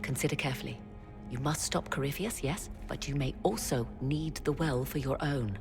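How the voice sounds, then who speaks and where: An actress voicing a shrewd, mysterious adviser in a fantasy video game, theatrical and knowing. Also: female voice, rumbling low, moderate pace, gravelly, faint music behind. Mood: urging. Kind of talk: dramatic video game acting.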